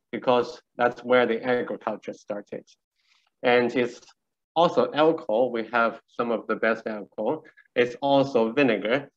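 A man's voice: mid-range voice, lo-fi sound. Masked man on a video call. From Shanxi, China. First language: a Chinese dialect of Shanxi. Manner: relaxed, many filler pauses, gently joking. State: speaking through a face mask. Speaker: guest speaker. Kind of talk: casual talk on a video call. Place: small room indoors. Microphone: video call with earphone mic.

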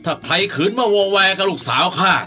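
An actor in a radio drama playing a stern, possessive noble father who gruffly orders and threatens his servants. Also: a little high, lively intonation, brisk, pushed and bright. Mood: grudging, threatening. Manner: gruff, imperious, menacing. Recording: studio radio drama with music.

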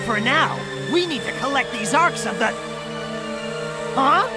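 An actor playing a cocky, impatient young bird gang boss in a video game, brash and bossy. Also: male voice, extremely high pitch, expressive, measured pace, over loud music. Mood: brash, irritated. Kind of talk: animated video game cutscene acting. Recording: loud game soundtrack over voice.

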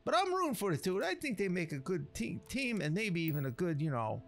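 A man with a gravelly voice, playing a streetwise storyteller in rambling, slangy talk over gameplay. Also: high-pitched, very animated, brisk. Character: streetwise storyteller recounting a local legend. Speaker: let's player narrating a roleplay story. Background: game music.

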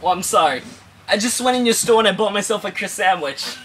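A man's voice: animated, a little fast, fairly bright tone. A young man putting on the voice of a cranky old war veteran making a prank phone call. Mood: loud, insistent. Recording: noisy moving bus, engine rumble.

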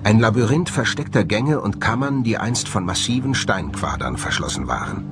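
A man's voice: fairly low pitch, animated, normal speed. A man delivering scripted narration for a documentary. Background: background music.

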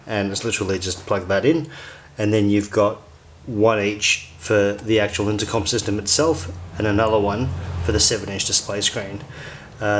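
A man's voice: on the low side, a little hiss or hum. A man talking casually through a how-to demonstration in an informal, explanatory way. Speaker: amateur tutorial maker.

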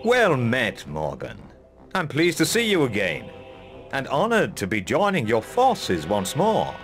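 An actor playing a loyal, noble elf archer in a video game, formal and earnest. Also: male voice, mid-range voice, huge pitch swings, a little slow, a bit bright. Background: music.

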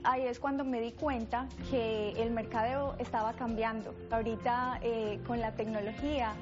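A young woman speaks in a slightly high voice, thoughtful and pausing with fillers. Music runs clearly underneath on a slightly dull recording. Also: steady tone. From Colombia. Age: young adult. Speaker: digital marketing specialist. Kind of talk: documentary interview.

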